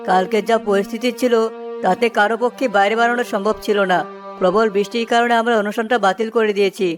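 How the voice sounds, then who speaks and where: A woman voicing a kind, fair and dignified gentleman in a village cartoon. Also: mid-range voice, fairly even intonation, quick pace, soft background music, natural voice. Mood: kindly. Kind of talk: cartoon story voice acting.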